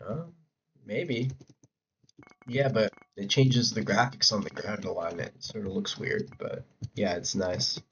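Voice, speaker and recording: A man chatting loosely to his viewers while he plays, slightly low in pitch and going hoarse from talking for hours. He wanders through thoughts with fillers and restarts. The sound is a bit dull and lo-fi, with soft keyboard clicks in the background. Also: a little slow, slight background noise. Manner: rambling, chatty, answers chat with fillers and restarts. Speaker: game streamer. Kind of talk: casual live-stream chat while playing. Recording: dull lo-fi mic with faint keyboard typing.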